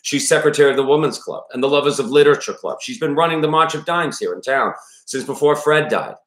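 A man's voice: fairly high pitch, fast, fairly bright tone, pitched above the performer's own voice, natural voice. A man voicing a worried, plainspoken older father from a small town, with a Maine accent, in an audiobook-style reading. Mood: explaining, earnest. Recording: roomy echo around the voice.

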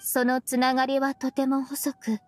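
A woman voicing an aloof young woman in a game, reserved, solemn and earnest, with a slightly husky voice. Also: high voice, moderate pace, no room sound, natural voice. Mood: reflective. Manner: reserved, literal, flatly earnest. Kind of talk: restrained game voice acting.

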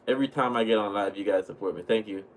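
A man's voice: lowish voice, fast talker. A young man in his twenties from Jamaica with a Jamaican accent, chatting casually on a livestream and answering viewers from a small room at home. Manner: relaxed, chatty, thanks viewers often. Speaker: livestream host reading chat. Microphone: webcam at desk.

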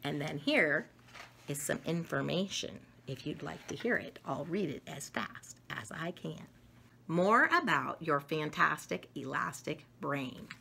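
A middle-aged woman reading an educational children's book aloud with deliberate, emphatic phrasing for young listeners. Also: sits low, expressive, gravelly, pushed and bright, slight background noise. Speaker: storyteller reading picture books. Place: home dining room, indoors.

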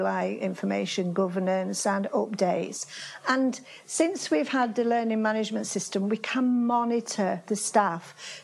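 A woman's voice: mid-range voice, creaky, darkish tone, rough recording. An older woman with a Yorkshire accent giving a chatty conference talk in a seminar room, restarting phrases often. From Yorkshire, England. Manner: chatty, with frequent restarts and fillers. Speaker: conference speaker. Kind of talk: conference presentation. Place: seminar room with projector screen.

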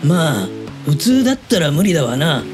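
An easygoing middle-aged uncle voiced by a man speaks in a fairly high voice with music behind him. He is casual and blunt, chatting like a soft touch in an audio drama. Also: very animated, normal speed. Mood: chatty, amused. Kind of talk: Japanese audio drama dialogue.

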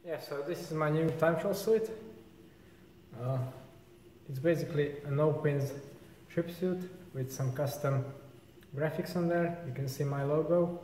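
A man's voice: fairly high pitch, a little slow, a little hiss or hum. Young man vlogging in an echoey workshop. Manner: relaxed, informal explaining of gear. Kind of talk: casual workshop vlog. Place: large indoor bike workshop.

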